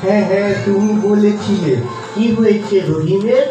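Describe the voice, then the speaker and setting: A performer voicing a kindly old grandfather puppet, telling a story to children in a patient, gentle, explaining way. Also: mid-range voice, moderate pace, close to an everyday voice. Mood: concerned, explaining. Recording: very echoey, distant, faint music behind.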